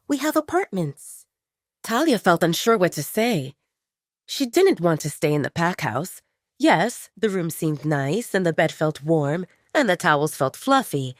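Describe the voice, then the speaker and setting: Female storyteller for serialized fiction, slightly low pitch, lively intonation, dry close sound. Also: no echo. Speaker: audio drama narrator. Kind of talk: scripted story narration.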